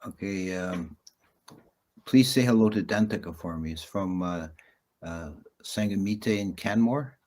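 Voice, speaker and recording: A male Buddhist monk making quiet, dry, low-key small talk before a teaching. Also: measured pace. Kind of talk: casual pre-talk chat.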